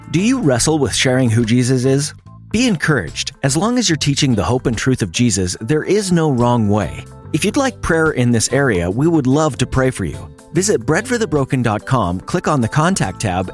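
Man, program announcer, scripted outro, lively pitch, quiet music behind. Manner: smooth, warm, read from script. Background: soft music bed.

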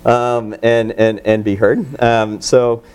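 Halting man introducing a guest. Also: fairly low pitch, flat delivery, darkish tone, smooth. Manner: halting, many "um" and "uh" restarts, laughs easily. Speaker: moderator and teacher. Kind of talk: casual onstage introduction. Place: event room before a live audience.

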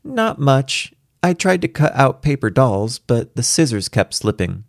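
A man's voice: medium pitch, very animated, a little slow, vocal fry, dry recording, clean studio sound, voice pushed up. A man voicing a precocious four-year-old girl in a storybook reading, matter-of-fact, patient and superior. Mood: thoughtful.

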